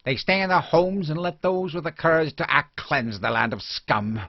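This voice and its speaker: A man playing a hostile, threatening village mob man in a fantasy audiobook, forceful and stern. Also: fairly high pitch, animated, moderate pace, creaky, dry recording, voice pushed up. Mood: stern, menacing. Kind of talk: dramatic fantasy audiobook dialogue. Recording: dull lo-fi recording.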